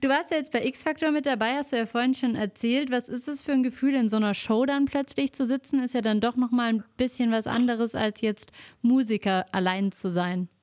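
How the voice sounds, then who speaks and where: A woman's voice: on the high side, fairly quick, few pauses, a little mellow. A woman interviewing a musician in casual German, friendly and laughing often.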